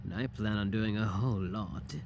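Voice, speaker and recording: A man's voice: lowish voice, moderate pace, a little mellow, a little hiss or hum, lightly acted. A male voice actor playing a shameless, flirty tiny clone scientist in an ASMR audio roleplay, teasing and suggestive in a theatrical way. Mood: smug, suggestive.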